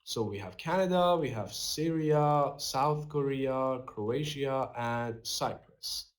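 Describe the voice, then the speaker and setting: A young man recording an online English lesson from a small room on his webcam, slowly explaining words and reading workbook dialogue aloud. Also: mid-range voice. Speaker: English teacher.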